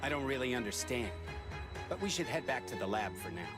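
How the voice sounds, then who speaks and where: A man playing a brash, impatient young android fighter in a video game, blunt and confrontational over loud music. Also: mid-range voice, very animated, fairly quick, natural voice. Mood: puzzled, suspicious. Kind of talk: English video game voice acting.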